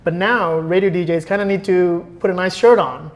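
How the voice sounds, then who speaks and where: A man's voice: high voice, moderate pace. A man speaking as a podcast guest, explaining his opinions in a thoughtful, conversational way and often restarting phrases. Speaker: podcast guest, former radio producer. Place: indoor podcast recording.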